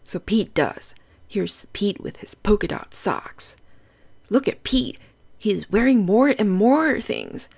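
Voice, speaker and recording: Slow, lively woman reading to children. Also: medium pitch, vocal fry, breathy edge. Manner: simple, instructive phrasing aimed at young children. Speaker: children's read-aloud host and narrator. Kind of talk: children's storybook read-aloud with questions to the listener.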